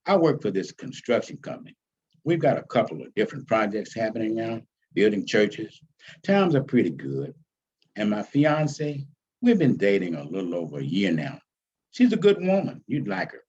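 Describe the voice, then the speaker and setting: A man's voice: fairly low pitch, sing-song, moderate pace, a little mellow, breathy edge, highs cut off, voice pushed down. A man voicing a friendly, respectful adult visitor, polite and easygoing, in a storybook reading. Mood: upbeat.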